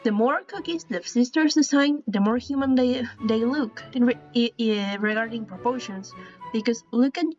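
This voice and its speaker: A woman's voice: on the high side, moderate pace, a little mellow. Hesitant woman with a Spanish accent. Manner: hesitant, many restarts and apologies. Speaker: artist explaining a drawing style. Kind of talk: casual drawing tutorial. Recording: dull lo-fi sound with faint music.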